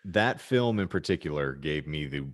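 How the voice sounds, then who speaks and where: A man's voice: extremely low pitch, lively intonation, moderate pace, no echo, studio quality. A man hosting a casual comedy podcast, joking in wordy, mock-formal turns of phrase. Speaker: podcast host.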